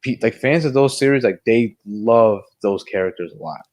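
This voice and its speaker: A man co-hosting a casual discussion show, thinking aloud in a measured way. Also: medium pitch, a little mellow. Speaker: podcast co-host reviewing movies and games.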